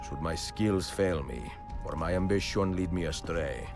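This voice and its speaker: A very low voice plays a weathered Italian assassin in a game cutscene. He is solemn and resolute, speaking in measured, formal phrases. Music plays clearly behind him. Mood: solemn, reflective. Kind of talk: video game cutscene acting.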